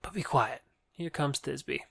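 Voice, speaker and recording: An actor playing a joking courtier who heckles with teasing quips in a comic audio drama. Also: male voice, fairly high pitch, unhurried, vocal fry, fairly bright tone, breathy edge, exaggerated voice. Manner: teasing, quipping. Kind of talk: modernized Shakespeare audio drama comedy.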